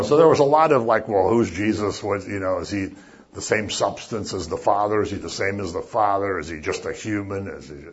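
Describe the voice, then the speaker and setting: A man preaching a sermon as a pastor, chatty and animated, acting out little voices as he talks. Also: lowish voice, lo-fi sound. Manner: conversational, animated, acts out voices.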